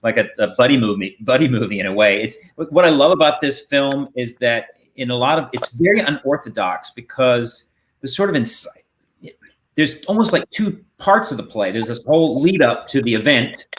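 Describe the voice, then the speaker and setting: A man's voice: medium pitch, expressive, moderate pace. A man hosting an interview over a video call, asking questions in a casual, rambling way. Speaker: interview host. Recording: video call with faint noise.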